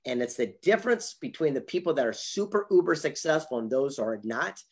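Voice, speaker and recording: A man in his fifties with a husky voice, telling motivational anecdotes emphatically on a video call and acting out the dialogue. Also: medium pitch, expressive, a little fast. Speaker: motivational speaker and author, interview guest. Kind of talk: animated motivational storytelling in an online interview. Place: indoor home office with memorabilia wall. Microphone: video call, computer mic.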